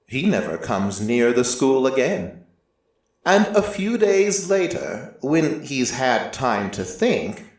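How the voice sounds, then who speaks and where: A man narrating dramatic first-person fiction in a deliberate, theatrical storytelling manner. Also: mid-range voice. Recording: very echoey, distant from mic.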